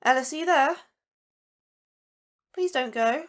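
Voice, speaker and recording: Horror podcast role: anxious female scientist, higher register, unsure and doubting. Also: takes it slowly. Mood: frightened. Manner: hesitant, stammering, incredulous. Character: anxious, questioning astrobiologist new to the facility. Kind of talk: audio drama acting.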